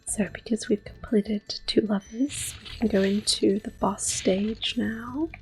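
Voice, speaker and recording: A woman giving soft-spoken ASMR gameplay commentary as host, often slipping into a breathy whisper, in a gentle, unhurried way. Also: mid-range voice, fairly even intonation, low-quality recording. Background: soft video game music.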